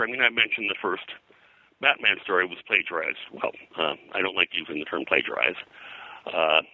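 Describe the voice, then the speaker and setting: Low-pitched man, very bright sharp tone, halting and digressive, historian in an informal interview, dry sound. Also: dry recording, rough recording. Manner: halting, digressive, detail-heavy explaining. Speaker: pop culture historian, guest.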